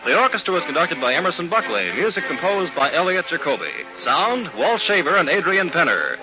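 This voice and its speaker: A man's voice: on the high side, very animated, fairly quick. A man announcing in formal 1950s radio style, as if reading out a programme's credits. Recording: muffled 1950 radio recording with music.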